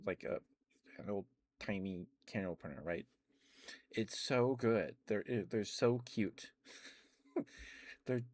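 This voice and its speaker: A man talking softly in an ASMR stream, rambling with many ums and restarts and now and then gushing with enthusiasm. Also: sits low, dry recording. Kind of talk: soft-spoken ASMR rambling.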